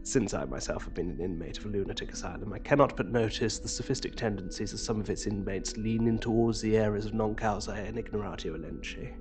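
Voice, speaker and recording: A man's voice: on the low side, fast talker, few pauses, music in the background, close to an everyday voice. Man acting ornate, unsettlingly courteous madman. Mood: courtly, pedantic. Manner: ornate, pedantic, precisely phrased. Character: courteous, scholarly male asylum patient with unsettling obsessions. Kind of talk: audio drama acting.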